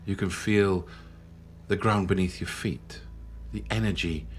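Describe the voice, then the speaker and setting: A man leading a guided meditation in a slow, soothing manner with long pauses. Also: sits low, fairly even intonation. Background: background music.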